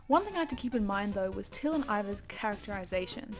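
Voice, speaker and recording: A young woman speaks in a casual commentary voice-over, chatty and self-deprecating. She keeps a middling pitch and a mock-dramatic lilt as she narrates. Faint music plays underneath. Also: expressive, fast talker. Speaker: animatic creator.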